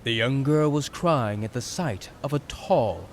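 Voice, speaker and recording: A man's voice: medium pitch, animated, unhurried, a little hiss or hum. A man giving dramatic scripted narration for a fan audio drama story.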